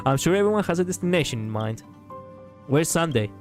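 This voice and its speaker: A man streams a video game and chats casually, rambling and often breaking into laughs, with some swearing. His voice is on the higher side for a man and sounds raspy. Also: expressive, a little fast, soft background music. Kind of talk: casual gaming stream chat.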